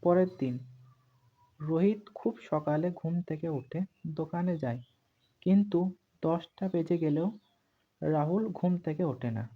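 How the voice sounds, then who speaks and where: A man's voice: fairly high pitch, measured pace, pauses often. A man narrating a cartoon story from a script as the storyteller. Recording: narrow, phone-like muffled sound.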